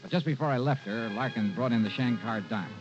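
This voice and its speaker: An actor playing a wry, confident hardboiled insurance investigator in old-time radio noir. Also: male voice, mid-range voice, fast, close to an everyday voice. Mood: wry narration. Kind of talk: 1950s radio noir acting and narration. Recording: dull vintage radio recording, faint music.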